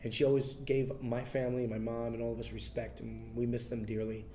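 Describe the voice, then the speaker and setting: A man being interviewed, talking in a relaxed way with many fillers and restarts. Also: medium pitch, a little fast, faint music behind. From United States. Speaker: interview guest, son of a famous bandleader. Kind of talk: casual interview.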